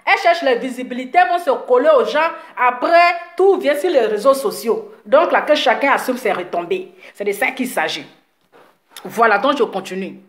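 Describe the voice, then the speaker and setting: A woman's voice: fairly high pitch, expressive, moderate pace. Heated woman ranting with an echo. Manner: heated, repetitive, scolding. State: slight cold. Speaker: social media commentator. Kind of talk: live video rant to followers.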